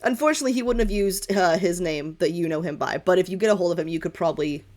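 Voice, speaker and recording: A woman's voice: lowish voice, fast, darkish tone. Informal rambling woman running a tabletop game. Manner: informal, rambling, self-correcting. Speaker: game master. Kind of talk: improvised tabletop game narration.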